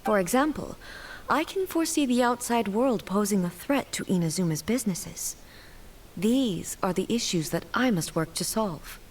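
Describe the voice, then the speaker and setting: An actress voicing a solemn, regal female ruler deity in a video game, speaking formally and with grave, measured dutifulness. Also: mid-range voice, expressive, slight background noise, lightly acted. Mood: solemn, reflective. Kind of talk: scripted video game dialogue.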